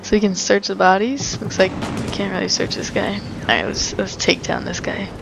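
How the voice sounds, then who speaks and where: A woman's voice: mid-range voice, little pitch movement, normal speed, gravelly, background noise. A young woman casually commenting while she plays a video game, chatty and rambling with frequent laughs. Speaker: let's play host. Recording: commentary over game sounds.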